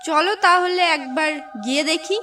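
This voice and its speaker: A woman's voice: extremely high pitch, moderate pace, bright, forceful tone. A woman voicing an anxious, plaintive village wife in a cartoon. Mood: worried. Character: worried village wife, anxious sister-in-law. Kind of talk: cartoon voice acting. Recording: slightly distant, music behind.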